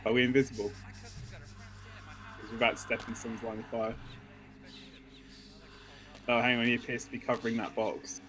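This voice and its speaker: A man's voice: medium pitch, steady tone, normal speed, long pauses, a bit bright. A man co-hosting a gaming video in casual banter, joking dryly and swearing now and then. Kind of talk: casual banter over gameplay.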